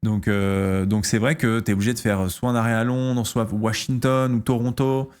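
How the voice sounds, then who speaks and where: A man in his thirties from France, chatting casually on a stream, answering questions and pausing often with fillers and restarts. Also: medium pitch, little pitch movement, darkish tone, clear, resonant tone. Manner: hesitant, lots of fillers and restarts.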